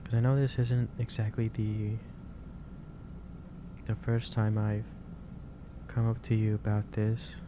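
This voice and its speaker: The man-acted kindly manager in an ASMR roleplay who stops at your desk after hours, lowish voice barely moving, hesitantly fretting over you through steady background noise. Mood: gently concerned. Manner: hesitant, halting, repeats phrases. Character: gentle, caring male office boss, worried and lecturing. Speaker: roleplay performer. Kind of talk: ASMR roleplay, soft-spoken monologue.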